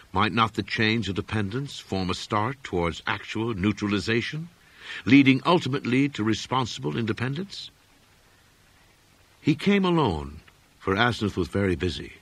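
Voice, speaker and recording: A man reading a gothic horror story aloud as an audiobook narrator, in a measured, formal, expressive manner. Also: fairly low pitch, slightly rough, no echo.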